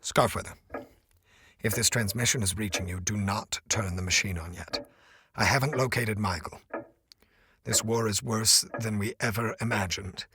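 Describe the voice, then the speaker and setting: An actor playing an earnest, obsessed scientist in an audio drama, speaking with intense, deliberate formality. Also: male voice, on the low side, steady tone, sharp tone, a little hiss or hum. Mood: weary, bleak. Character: earnest, obsessed male scientist stranded in another dimension.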